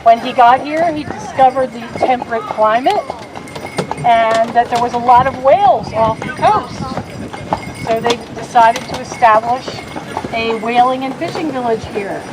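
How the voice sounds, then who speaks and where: High-voiced woman, carriage tour guide. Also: normal speed, noisy background. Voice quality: loud voice. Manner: chatty, joking with riders. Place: outdoors on a horse carriage. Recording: background music over the voice.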